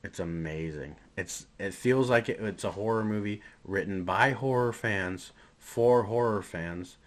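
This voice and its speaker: A man's voice: lowish voice, measured pace, slight background noise. A man speaking casually and enthusiastically as a podcast co-host discussing films.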